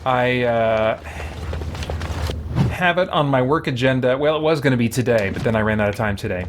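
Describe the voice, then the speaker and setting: A man's voice: mid-range voice, moderate pace, background noise. A man giving casual live commentary as he plays a video game, thinking aloud in a wry way. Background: video game music.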